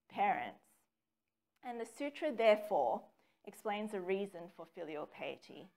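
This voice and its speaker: A young woman reading a prepared talk in a measured way, recorded by a camera across a small meeting room. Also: medium pitch. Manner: measured, reads from notes with occasional ums. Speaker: speaker giving a dharma talk. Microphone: far camera, no mic seen.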